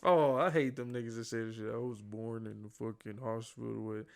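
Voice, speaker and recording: A man's voice: mid-range voice, fairly even intonation, a little fast, vocal fry, a crowd in the background. A young American man chatting casually on a podcast, blunt and slangy, teasing his friends with plenty of swearing. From near Chicago, United States. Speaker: podcast co-host. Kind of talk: casual chat among friends.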